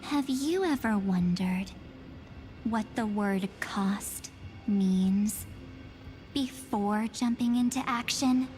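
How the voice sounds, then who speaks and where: Woman playing enigmatic young game character, unhurried, half-whispering, cryptic, cool, faint music underneath. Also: medium pitch, a little mellow, natural voice. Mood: guarded, cryptic. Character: enigmatic young woman, secretive cold double of a heroine. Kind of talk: scripted video game acting. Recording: music behind the voice.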